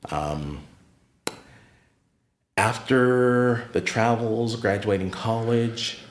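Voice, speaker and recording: A middle-aged American man, a podcast guest and retired teacher, telling stories of his life in an unhurried, reflective way that turns lively when he recounts encounters. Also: lowish voice, a little hiss or hum. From United States. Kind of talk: casual interview storytelling. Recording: roomy echoey room.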